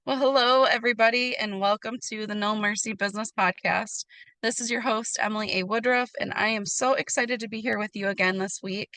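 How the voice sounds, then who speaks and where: A woman's voice: medium pitch, steady tone, fairly quick, sharp tone. A woman with an American accent, speaking conversationally as the host of a podcast.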